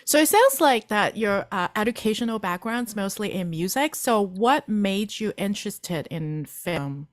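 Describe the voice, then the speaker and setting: A woman's voice: mid-range voice, lively intonation, unhurried, hardly any pauses, no echo, clean studio sound. A woman hosting a conversational interview in a polite, attentive manner. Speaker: interview host.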